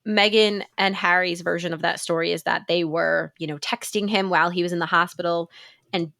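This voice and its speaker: A woman in her thirties with a stuffy, congested voice chats through a podcast episode, full of fillers and easy laughs. Her voice sits a bit low, and it is recorded close and dry with no room sound. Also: steady tone, a little fast, creaky, bright, forceful tone, no echo. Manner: chatty, laughs often, many fillers. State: congested with a cold. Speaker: podcast co-host. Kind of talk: casual podcast chat.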